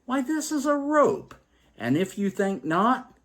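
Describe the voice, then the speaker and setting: A man's voice: very high voice, very animated, takes it slowly, fairly bright tone, pitched above the performer's own voice, heavily acted. A man voicing pompous, argumentative men in a satirical poem, blustering and insistent as he recites the verse. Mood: sneering.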